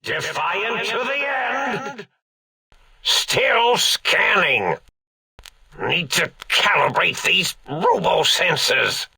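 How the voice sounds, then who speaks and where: An actor playing a manic, cackling old mad scientist villain in a video game, theatrical and grandiose, with a raspy, gravelly voice and drawn-out delivery. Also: male voice, high voice, lively intonation, pushed and bright, full character voice. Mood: indignant, manic.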